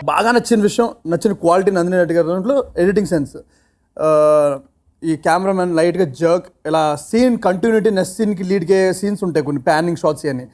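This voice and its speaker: A man's voice: sits high, fast talker, a little mellow, soft background music. A man talking casually in an interview, mixing English phrases into his speech. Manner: code-switches into English phrases. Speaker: film team member, interviewee. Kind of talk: casual interview talk about filmmaking.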